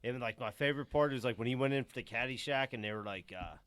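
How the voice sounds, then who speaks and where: A man's voice: mid-range voice, fast, a crowd in the background. A man co-hosting a podcast, chatting in a laid-back way and calling people dude. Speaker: podcast co-host. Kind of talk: casual banter.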